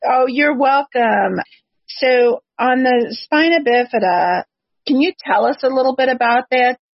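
A woman with a husky voice presents as a podcast host, in the manner of prepared introductions and interview questions. The recording is dry and close, with a slightly dull, lo-fi sound. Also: sits high, a little slow, no echo. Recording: dull, lo-fi, slightly muffled audio.

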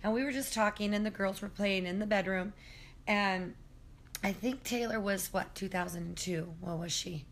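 A hoarse middle-aged woman telling a spooky story at her kitchen table, rambling with many ums and self-corrections, recorded on a phone. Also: medium pitch, moderate pace, slight background noise. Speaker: guest storyteller. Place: apartment kitchen at home.

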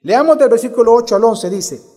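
A Salvadoran pastor with a high-pitched voice delivers a sermon, moving from a personal story to emphatic preaching. He keeps asking his congregation questions and answering them himself. His voice rings out through a reverberant church hall. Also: expressive, a little fast, dark tone. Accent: Salvadoran Spanish.

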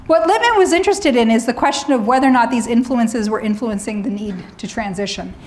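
A middle-aged woman lecturing on academic freedom, slightly hoarse, often clearing her throat and adding wry asides. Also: on the high side, brisk.

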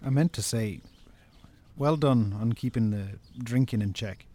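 An actor playing a practical, supportive Irish farmer's brother in a naturalistic radio drama. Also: male voice, mid-range voice, a little slow, mellow, a little hiss or hum, close to an everyday voice. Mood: calm, reassuring.

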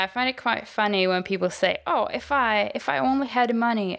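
A woman from Sweden leading an interview in a calm, reflective manner. Her voice carries a gravelly, creaky texture at a middle pitch. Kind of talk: interview questions and comments.

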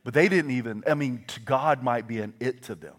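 A middle-aged Southern American preacher delivering a sermon. He drops into soft, hushed asides, then climbs into loud, shouted declarations. He tells stories and acts out what other people said. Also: mid-range voice, a little fast. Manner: animated storytelling with quoted voices.

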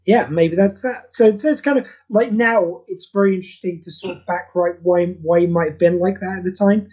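A man with a notably high voice and a husky edge talks casually as an interview guest. He is earnest and rambles informally. The sound is dull and lo-fi. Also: fairly quick, a little mellow. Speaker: interview guest, creator of charity audio plays. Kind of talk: casual podcast interview chat.

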